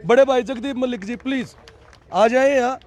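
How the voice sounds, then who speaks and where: A man's voice: extremely high pitch, moderate pace, darkish tone. A man at an outdoor protest speaking to a reporter in an indignant, emphatic way, repeating his points. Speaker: protest organizer. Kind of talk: impassioned protest speech to reporter.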